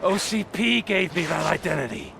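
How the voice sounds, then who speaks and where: An actor playing a scheming, manipulative male villain who sneers and erupts into shouts in a game cutscene. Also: sits high, lively intonation, moderate pace, vocal fry, noisy background, heavily acted. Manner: theatrical, sneering, swings into angry shouts. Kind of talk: video game cutscene acting.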